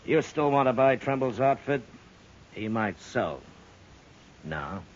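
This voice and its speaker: An actor playing a stern, commanding frontier marshal in a 1950s radio western, terse and authoritative. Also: male voice, mid-range voice, very animated, slow. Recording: muffled, hissy 1950s radio recording.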